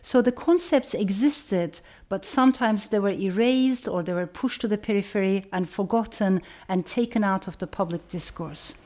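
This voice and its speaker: A woman from Turkey giving a reflective educational talk, speaking in a measured way and repeating phrases for emphasis. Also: medium pitch, darkish tone.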